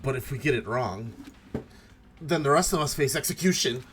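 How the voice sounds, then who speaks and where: Male stream host, mid pitch and lively intonation, casual banter mixed with read-aloud game lines. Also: normal speed. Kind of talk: casual chat with friends and reading game dialogue.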